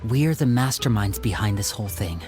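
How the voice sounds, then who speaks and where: A man playing a young, protective, composed older brother in a video game, talking in a measured, theatrical way. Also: fairly low pitch, animated, a little mellow, natural voice. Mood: resolute. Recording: music playing behind the voice.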